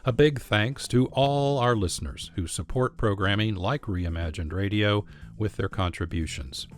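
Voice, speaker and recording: An American man speaks as a radio host in a fairly low voice. He reads scripted narration in measured, clearly phrased sentences, with faint music behind him.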